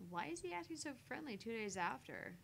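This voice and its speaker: A sharp-tongued visual novel heroine, acted out by a woman, with lively, swooping intonation. She is dry and haughty, teasing as she narrates her thoughts. There is slight noise behind her. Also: on the high side, a little fast, gravelly, pitched above the performer's own voice, heavily acted. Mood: wry. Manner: dry, taunting, theatrical.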